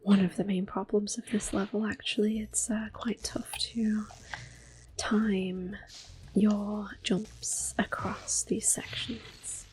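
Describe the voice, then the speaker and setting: A woman's voice: medium pitch, flat delivery, low-quality recording. Whispering woman, ASMR game commentary. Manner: hushed, unhurried, gentle. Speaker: host of an ASMR gameplay series. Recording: clicking controller noise. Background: controller clicks.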